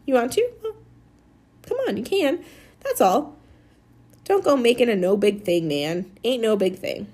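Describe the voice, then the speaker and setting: Storybook woman doing a streetwise boy: snappy, pushy, slangy, animated and high. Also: a little slow, long pauses. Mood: insistent. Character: brash, tough, streetwise boy, impatient.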